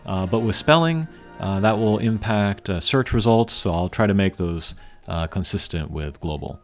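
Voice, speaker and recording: Low-voiced man chatting over game music. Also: lively intonation, moderate pace. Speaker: gaming channel host. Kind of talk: casual unscripted gameplay commentary.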